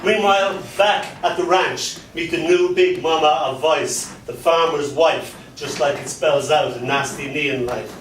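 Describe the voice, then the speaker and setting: A man performing dark comic poetry and stories live, with a theatrical delivery and dramatic pauses. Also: a little high. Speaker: spoken word poet. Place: spoken word venue in a London pub. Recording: echoey live venue, distant mic, background noise.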